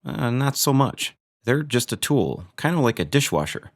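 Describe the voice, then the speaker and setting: A man's voice: mid-range voice, big pitch swings, moderate pace. A man hosting a gear review video, narrating from a script with dry, joking asides.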